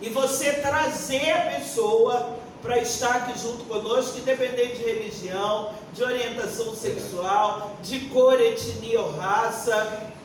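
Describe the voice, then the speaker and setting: A Brazilian woman of sixty-one answering on a forum panel like a pastor, deliberate and emphatic, searching for words as she goes. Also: a little high. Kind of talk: unscripted panel answer with preaching tone. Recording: echoey room, distant mic, faint noise.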